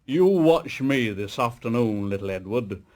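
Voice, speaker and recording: A man's voice: medium pitch, lively intonation, measured pace, a little mellow, natural voice. A man voicing a big, proud male engine who huffs and speaks pompously in a storybook reading. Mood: boastful. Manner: pompous, huffing.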